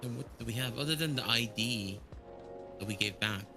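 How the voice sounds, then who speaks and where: A man's voice: mid-range voice, moderate pace, over music. A man chatting casually with friends, hesitant and restarting, full of "like". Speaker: co-player. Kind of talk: casual chat.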